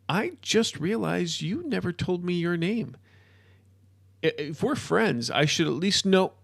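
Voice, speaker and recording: A man voicing an obsessive, excitable modern-day wizard in an audiobook story, with animated, emphatic lecturing. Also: high voice, a little slow, gravelly, no echo, clean studio sound, pitched above the performer's own voice, exaggerated voice. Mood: nervous.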